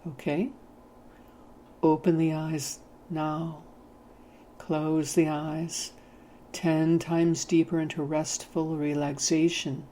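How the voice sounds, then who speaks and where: A narrator leading a hypnotic guided meditation in a soothing, measured, gently instructing manner. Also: medium pitch, level tone, slight background noise.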